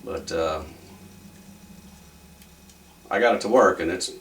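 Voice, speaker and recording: Low-voiced man, recorded at a distance. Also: slow, a bit bright. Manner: folksy, rambling, thinking aloud. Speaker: electronics repair hobbyist demonstrating a fix. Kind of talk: casual off-the-cuff repair narration. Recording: distant mic with faint background noise.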